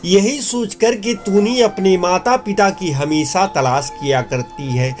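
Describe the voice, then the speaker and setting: A man's voice: high-pitched, slow, faint music behind. A man narrating a children's cartoon moral story in an earnest, explanatory way.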